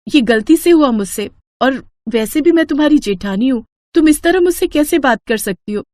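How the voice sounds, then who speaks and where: A woman voicing a gentle, innocent young village bride in a storybook tale, polite and earnest. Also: high voice, animated, normal speed, no echo, voice pushed up. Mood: hurt, firm. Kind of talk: animated storybook acting.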